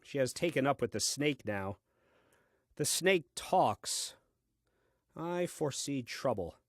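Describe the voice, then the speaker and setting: Man acting as first man on earth, self-important, grumbling, dry humor, lively intonation, close dry recording, comic audio play. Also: medium pitch, slow pace, no echo. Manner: dry, fussy, pompous deadpan delivery.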